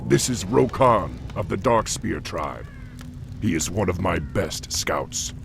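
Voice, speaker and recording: A man voicing a noble orc warchief, calm and commanding, with a measured, authoritative delivery. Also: sits low, creaky, background noise, heavily acted. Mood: welcoming.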